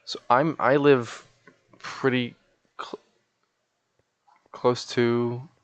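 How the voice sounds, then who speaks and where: A man's voice: medium pitch, flat delivery, drawn-out words, dry recording, dull audio. A sleepy man chatting casually and in a rambling, folksy way as he live-streams a game.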